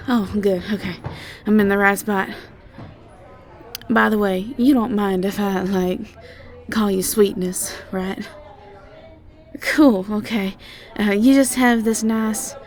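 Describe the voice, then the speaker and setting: A woman's voice: medium pitch, a little mellow. A woman playing a sweet, flustered, ditzy young siren student with a Southern American accent and a hesitant, stammering way of chatting in an audio roleplay. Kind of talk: roleplay audio drama acting.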